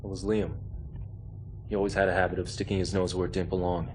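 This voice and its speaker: A troubled soldier who has defected played by a man, low in pitch and earnest. He speaks haltingly in dramatic game style over faint noise. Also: level tone, darkish tone, lightly acted. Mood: regretful.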